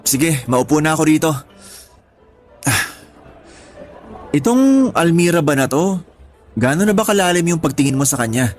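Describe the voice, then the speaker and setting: A man's voice: a little high, very animated, normal speed, pauses often, soft background music. A man playing a curious, doubting young friend in a Tagalog radio drama, casual and questioning. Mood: probing.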